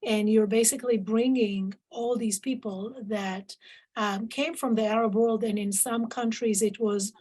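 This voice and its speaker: A middle-aged woman from Israel whose first language is Arabic, a professor answering interview questions thoughtfully, with frequent pauses and restarts. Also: fairly high pitch, steady tone, a little mellow. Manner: thoughtful, many fillers and restarts. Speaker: professor guest.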